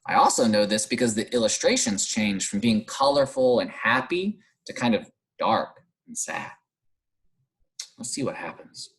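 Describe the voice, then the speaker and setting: A man teaching young children through an expressive read-aloud, pausing to explain and ask encouraging guiding questions. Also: fairly low pitch, husky. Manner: animated, encouraging, explanatory, pauses to question listeners. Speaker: primary school teacher reading aloud.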